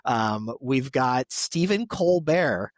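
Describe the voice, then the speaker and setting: A man's voice: medium pitch, expressive, takes it slowly, no echo. A man co-hosting a podcast, giving enthusiastic, conversational review commentary. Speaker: podcast co-host.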